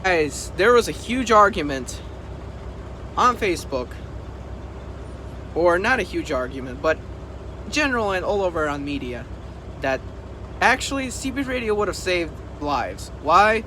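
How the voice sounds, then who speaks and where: A man's voice: sits high, big pitch swings, unhurried, long pauses, pushed and bright. A man talking casually to the camera as a trucking vlogger, urging his points emphatically and repeating them. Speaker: trucker and video host. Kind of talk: casual vlog talk to camera. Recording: noisy vehicle and outdoor background.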